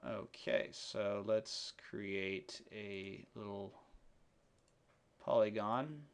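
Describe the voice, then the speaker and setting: Male tutorial presenter, lowish pitch with heavy vocal fry, unscripted and halting, walking through each step of a software demo. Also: fairly even intonation. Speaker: software demonstrator. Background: keyboard typing and mouse clicks.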